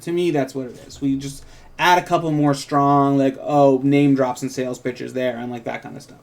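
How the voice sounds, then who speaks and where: A man's voice: medium pitch, little pitch movement, moderate pace. A man co-hosting a script reading, talking casually and thinking aloud in loose, rambling sentences. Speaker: co-writer and host. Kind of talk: casual creative discussion and script narration.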